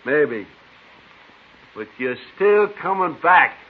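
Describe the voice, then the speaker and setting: An American actor playing a domineering, threatening outlaw gang leader in an old radio western. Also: male voice, on the high side, big pitch swings, slow, heavily acted. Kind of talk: 1950s radio western acting. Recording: tinny, noisy old radio recording.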